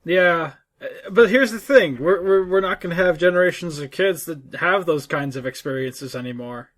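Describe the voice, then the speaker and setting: A man's voice: a little high, normal speed, fairly bright tone. A man co-hosting a gaming podcast, chatting casually and informally, often repeating himself as he makes his point. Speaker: co-host of a gaming discussion podcast. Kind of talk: casual chat.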